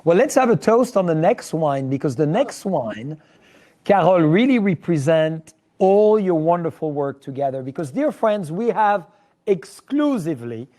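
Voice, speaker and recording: A man's voice: on the high side, animated, unhurried, darkish tone. A man with a French accent hosting a live show in an effusive, emphatic conversational style. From France. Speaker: host.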